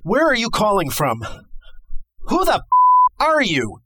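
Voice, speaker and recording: An actor playing a sarcastic, easily exasperated radio call-in host in a radio play. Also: male voice, high-pitched, wildly swooping pitch, unhurried, background noise, full character voice. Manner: wisecracking, theatrical. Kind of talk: radio play acting as talk show host.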